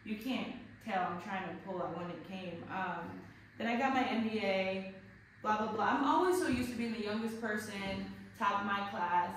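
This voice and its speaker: A thirty-year-old woman talks openly on a gallery panel. She is frank and lively, and she laughs and swears as she goes. Her voice sits at an average pitch and comes through a very reverberant room from a distance. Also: moderate pace, hardly any pauses. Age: 30s. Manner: candid, animated, laughs and swears freely. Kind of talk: casual panel talk. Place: art gallery, indoors. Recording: echoey gallery room from far off, background noise.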